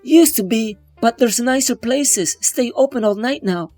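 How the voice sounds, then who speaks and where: A woman acts out a late-night diner waitress in a motion comic. She is brusque and world-weary, with a high voice and a wry, colloquial way of talking. Music plays faintly behind her. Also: animated, unhurried, mellow, no room sound. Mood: wry, chatty. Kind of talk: scripted comic-book drama dialogue.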